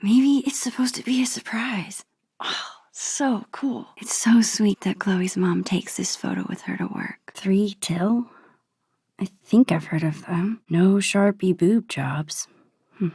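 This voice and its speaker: A woman voicing a shy, thoughtful young American teenage girl in a video game, in a soft, breathy, musing inner-monologue voice. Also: medium pitch, takes it slowly, no echo, studio quality. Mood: curious, fond. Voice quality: breathy, hushed.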